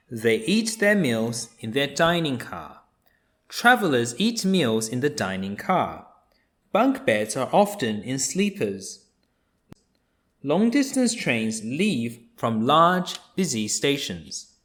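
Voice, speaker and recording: A man reading a children's nonfiction book aloud, explaining things simply and deliberately for young listeners. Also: medium pitch, a bit bright.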